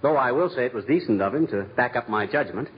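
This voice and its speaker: An actor playing a blustering, wisecracking husband in a 1940s radio comedy, brash and full of slangy exclamations. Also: male voice, medium pitch, expressive, quick pace, lightly acted. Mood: wry, pleased. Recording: noisy, muffled old radio recording, studio audience.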